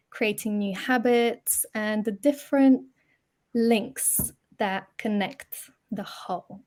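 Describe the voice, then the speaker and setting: A young adult woman hosting a podcast, introducing her guest in an unhurried, soft-spoken way with small fillers. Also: on the high side. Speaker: podcast host, personal development coach.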